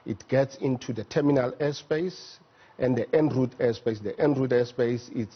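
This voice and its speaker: A man with a South African accent explaining things methodically and haltingly as an expert guest in a studio interview. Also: medium pitch, slightly rough, highs cut off. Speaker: air traffic management executive, interview guest. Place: TV news studio.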